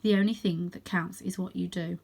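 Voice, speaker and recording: A wise old tomcat mentor from a children's storybook, read by a woman teaches in measured, solemn phrases. He sounds grave and instructive, an ancestor passing on his lore. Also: on the low side, fairly even intonation, gravelly, a little mellow, breathy edge. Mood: grave, teaching.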